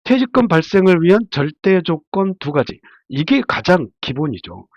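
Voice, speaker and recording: A man's voice: a little high, big pitch swings, normal speed, darkish tone, no echo. A man giving an informal, explanatory slide lecture as a labor attorney, his voice stuffy and nasal from a cold, often asking and answering his own questions. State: congested from a head cold. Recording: dull, muffled lo-fi sound.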